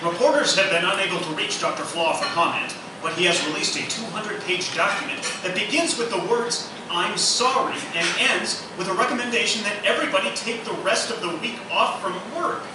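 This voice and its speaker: Guy playing high voiced news reporter, formal announcer delivery, really echoey live radio play recording. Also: fairly quick, hardly any pauses, distant mic, natural voice. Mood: earnest, formal. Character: earnest male TV news reporter reading breaking news. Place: live performance room, distant mic. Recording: echoey, noisy live theatre recording from afar.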